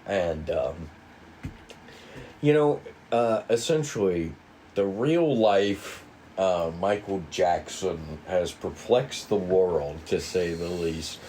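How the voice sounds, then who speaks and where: A man getting over a cold, co-hosting and explaining in a casual, rambling, halting way. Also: deep voice, lively intonation, dark tone, slight background noise, poor audio. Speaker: co-writer and co-host introducing a script table read.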